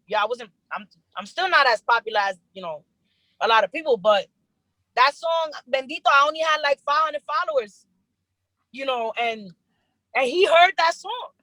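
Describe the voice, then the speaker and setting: Young new york woman, bright higher voice, earnest storytelling, dry close mic. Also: moderate pace, dry recording, rough recording. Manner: earnest, animated storytelling with repeated restarts. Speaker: rapper guest. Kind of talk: casual interview chat.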